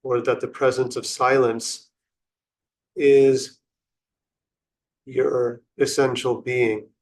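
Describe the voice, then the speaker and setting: A man leading a guided meditation in an unhurried, contemplative and instructional way, with long pauses between short phrases. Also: medium pitch, little pitch movement.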